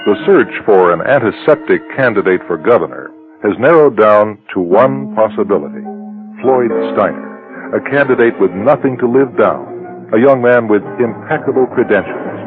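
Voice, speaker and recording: An actor as a solemn narrator delivering dramatic scripted narration for a radio play. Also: male voice, lowish voice, lively intonation, normal speed, phone-quality sound, lightly acted. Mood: portentous. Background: loud music.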